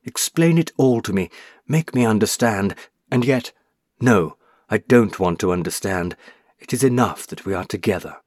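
Give me a sorrowful young woman ghost, a burned witch, speaking softly and lovingly, her voice climbing high when grief takes hold, as a man reading a storybook puts her on, in a dry, close recording. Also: lively intonation, normal speed, no room sound. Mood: tender. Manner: pleading, tender, rising to anguish. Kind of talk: audiobook reading.

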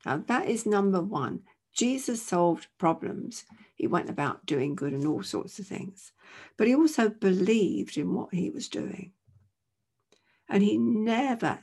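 Croaky-voiced woman preaching. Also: medium pitch, a little mellow. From England. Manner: earnest, conversational, many "you know" asides. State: croaky voice with a chesty cough. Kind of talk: informal sermon to camera. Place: indoors at home.

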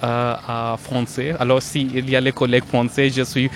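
A man's voice: mid-range voice, little pitch movement, normal speed, slight background noise. A man from North India, a native Hindi speaker, talking in careful, hesitant French as an interview guest, with many restarts and fillers. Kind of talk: casual conversational interview.

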